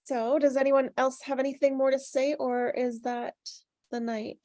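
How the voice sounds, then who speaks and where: A woman leading a casual group discussion in a chatty, agreeable way, with frequent soft interjections. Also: high voice, little pitch movement, moderate pace, no echo. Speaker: host leading a script table-read discussion.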